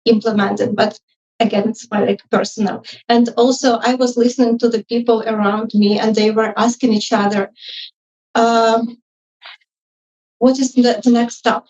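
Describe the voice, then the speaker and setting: Hesitant non-native woman, high voice, distant muffled mic. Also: fairly even intonation, moderate pace, a little mellow. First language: not English. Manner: hesitant, tentative, apologetic. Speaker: audience member, junior UX designer. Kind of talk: informal spoken feedback and questions. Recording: muffled live event audio, distant mic.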